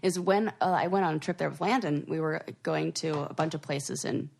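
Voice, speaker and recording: A woman co-hosting a podcast, chatting casually and telling animated stories full of fillers. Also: deep voice, a little fast, creaky. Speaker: podcast co-host. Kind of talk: casual chat.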